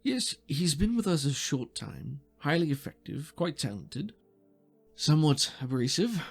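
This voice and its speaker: A game master voicing a polite, precise male bureaucrat in a tabletop game, speaking in formal, hesitant, measured phrases. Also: medium pitch, sing-song, creaky, slightly rough, soft background music, exaggerated voice. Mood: courteous, precise.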